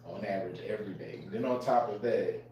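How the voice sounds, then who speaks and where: A man's voice: fairly low pitch, brisk, dark tone, slight background noise. A man moderating a live panel, asking probing questions and clarifying points. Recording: echoey room from far off.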